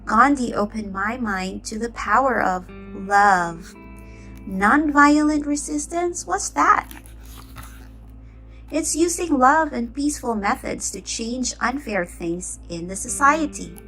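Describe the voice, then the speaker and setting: A woman's voice: a little high, lively intonation, slow, sharp tone, faint music behind, low-quality recording. A woman reading a children's storybook aloud, expressively acting out the dialogue. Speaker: storybook reader.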